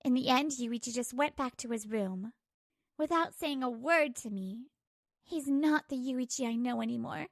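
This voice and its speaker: Actress as betrayed young wife, high-pitched voice, emotive and confiding, manga drama narration, dry close sound. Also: female voice, moderate pace, no room sound, lightly acted. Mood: sad. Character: hurt betrayed young wife narrating her troubles, growing resolute. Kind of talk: dramatised first-person manga dub narration.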